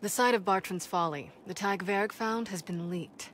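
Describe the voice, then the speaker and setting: An actress playing a confident, sharp-witted female dwarf in a video game, playful and self-assured as she talks. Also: mid-range voice, normal speed, slight background noise, natural voice. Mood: matter-of-fact.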